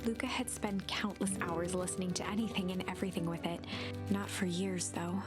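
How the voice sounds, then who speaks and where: A woman playing a formal, measured storybook narrator who addresses the reader with a coy, theatrical air. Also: on the low side, steady tone, natural voice. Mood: calm storytelling. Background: guitar music.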